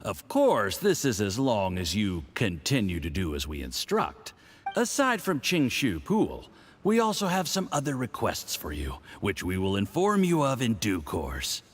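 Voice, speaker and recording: An actor playing a smug, scheming foreign diplomat with a patronizing, mocking manner. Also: male voice, on the low side, wildly swooping pitch, a little slow, heavily acted.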